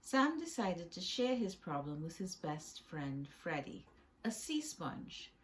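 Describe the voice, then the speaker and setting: A woman's voice: on the low side, sing-song, slow pace. A woman reading her own children's book aloud as a storyteller, with short asides to the listener.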